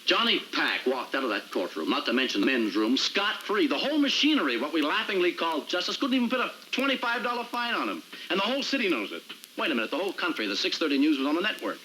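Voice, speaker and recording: A driven, indignant American prosecutor with a sharp, forceful, highish voice that swings widely as he talks. He is wordy and wryly sarcastic, in the manner of a screen crime drama, over faint noise. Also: very animated, quick pace, low-quality recording. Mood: angry, sarcastic. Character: indignant, driven, sardonic male city prosecutor. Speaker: actor playing a prosecutor.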